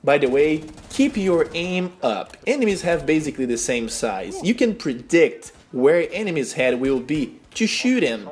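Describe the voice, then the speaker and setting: A young man from Brazil with a Brazilian Portuguese accent, a non-native English speaker narrating a game tips tutorial in an earnest, simple instructional way. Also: a little high. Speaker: gaming channel host.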